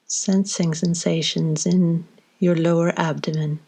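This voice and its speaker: A woman leading a guided body scan meditation, giving slow, gentle instructions with long pauses between phrases. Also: lowish voice, steady tone, breathy edge.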